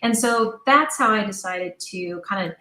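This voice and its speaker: A woman's voice: medium pitch, moderate pace. Chatty woman in an echoey room. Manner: chatty, animated retelling with quoted dialogue. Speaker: interview guest, audio drama creator. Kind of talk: casual conversational storytelling. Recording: roomy, echoing sound.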